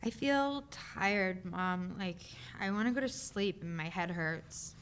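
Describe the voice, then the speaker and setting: Woman acting a slow, tired little boy. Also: lowish voice, a bit bright, clear, resonant tone. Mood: weary, sore. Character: tired, hurt young schoolboy. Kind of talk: dramatic play reading.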